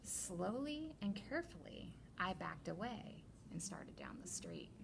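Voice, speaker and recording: Woman reading to children, slightly gravelly voice. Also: fairly low pitch, very noisy. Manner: deliberate, expressive storytelling to children. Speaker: teacher librarian. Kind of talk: children's picture book read-aloud.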